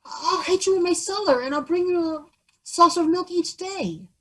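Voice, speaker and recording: An earnest, eager little boy in a high voice. The performance is made for a children's story. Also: moderate pace, a bit bright.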